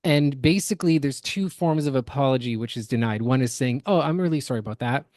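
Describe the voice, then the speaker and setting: A woman's voice: extremely low pitch, a little fast, a little mellow, no echo, clean studio sound. A woman co-hosting a podcast, giving casual reaction commentary in an analytical, critical, explanatory manner. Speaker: podcast co-host.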